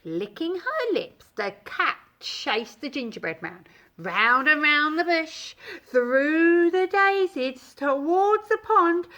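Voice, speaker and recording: A woman's voice: high voice, sharp tone. A woman reading a children's story aloud in a dramatic, emphatic storytelling style.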